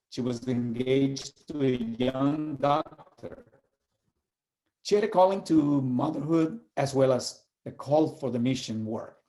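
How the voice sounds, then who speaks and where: A man from El Salvador, giving an earnest, measured reflection and reading aloud with pauses. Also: medium pitch, dark tone, poor audio. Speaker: community organizer sharing a reflection.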